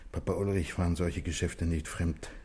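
An actor playing a respectable married man cornered by a murder accusation in a 1960s radio play, halting and emotionally strained. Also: low voice, fairly even intonation, a little mellow, husky. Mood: resigned.

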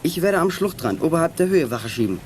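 A man's voice: on the high side, big pitch swings, a little fast, a little hiss or hum. An actor playing a bold teenage boy detective who leads his gang in a German children's radio play, brash and commanding. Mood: confident, brisk.

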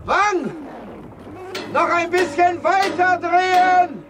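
A man's voice: very high voice, slow pace, background noise. An actor playing a courteous, commanding man who lives alone on an island, in a radio play. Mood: urgent, calling out.

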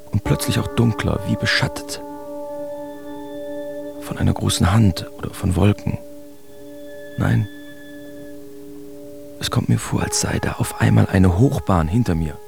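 A haunted, wry artist telling his absurd dream for a radio drama. His voice sits on the low side with a clearly creaky grain. The storytelling is expressive and theatrical, with music running behind it. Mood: brooding, mysterious. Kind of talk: radio drama first-person narration.

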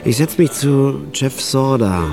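An actor playing a tired, cynical night clerk who narrates in a dry, wry way in a radio drama. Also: male voice, medium pitch, slow pace, vocal fry. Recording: music bed under the voice.

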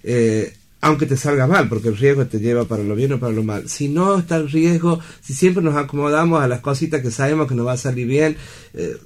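Earnest Argentine man, middle-pitched voice. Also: a little fast. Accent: Argentine Spanish. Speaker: guest, theatre director. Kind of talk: casual studio conversation.

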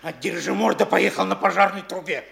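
An actor playing a dutiful, matter-of-fact provincial police officer reporting to a superior in a stage comedy. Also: male voice, sits high, a little fast, creaky, fairly bright tone, heavily acted. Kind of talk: theatrical Russian stage comedy acting.

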